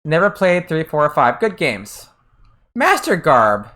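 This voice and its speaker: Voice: medium pitch, wildly swooping pitch, unhurried, a bit bright. An American game streamer chatting casually while playing, often trailing off and restarting sentences while answering chat. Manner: answers chat messages between gameplay.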